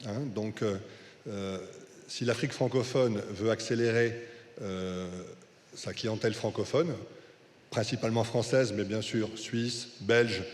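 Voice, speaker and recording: A man's voice: fairly low pitch, steady tone, a little slow. Explanatory man in an echoey hall. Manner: organized, explanatory. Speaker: hotel group director, panelist. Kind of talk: conference panel answer. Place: conference hall. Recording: echoey hall with long reverb.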